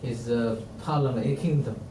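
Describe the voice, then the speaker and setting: A man who is not a native English speaker teaching a religious history class in a mosque, halting with many restarts and quoting Arabic. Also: medium pitch. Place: mosque hall. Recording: roomy, distant mic, faint noise.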